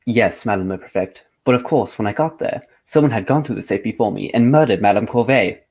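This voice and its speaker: An actor playing a composed, courteous gentleman detective in a radio drama, speaking in a formal, measured way. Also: on the low side. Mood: earnest recounting.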